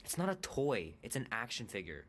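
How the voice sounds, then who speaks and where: Nerdy, easygoing teenage boy, animated. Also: mid-range voice, brisk. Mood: mildly defensive. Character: nerdy, easygoing thirteen-year-old boy, fond of rock. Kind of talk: naturalistic video game acting.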